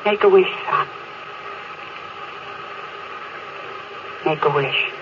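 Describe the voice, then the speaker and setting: An actress playing a tender, sad mother, gentle and evasive, in a 1950s radio drama. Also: sits low, normal speed, background noise, natural voice. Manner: gentle, wistful. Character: wistful mother, tender but sad, evasive with her child. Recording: muffled vintage radio recording, music underneath.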